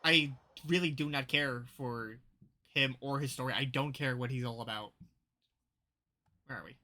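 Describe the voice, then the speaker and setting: A man's voice: medium pitch, moderate pace, pushed and bright. A man streaming a video game with casual, blunt, exasperated commentary, often repeating himself as he rambles.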